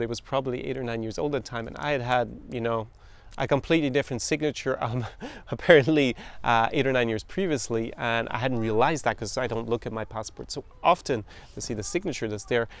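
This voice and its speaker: A man's voice: medium pitch, brisk, clear, resonant tone. An Irish man in his thirties, hosting a podcast and telling a story from his travels in a chatty, anecdotal way. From Ireland. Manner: anecdotal, quotes others in voices, chuckles. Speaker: podcast host.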